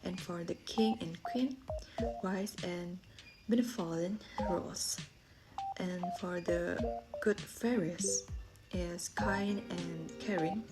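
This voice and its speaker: Slow-paced young woman storyteller over music. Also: on the low side, poor audio. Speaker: student storyteller. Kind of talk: scripted storytelling presentation.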